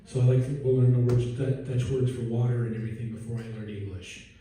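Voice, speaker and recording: Mellow-voiced pastor in an echoey church. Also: male voice, medium pitch, level tone, brisk. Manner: informal, joking, asks the congregation questions. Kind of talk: conversational sermon. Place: church sanctuary. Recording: echoey hall, distant mic.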